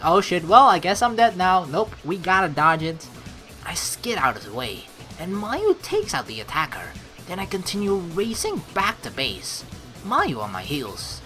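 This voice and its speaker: A woman streamer reading a game's narration aloud, mixed with casual, expressive commentary. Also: medium pitch. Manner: expressive, animated asides. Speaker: let's play streamer.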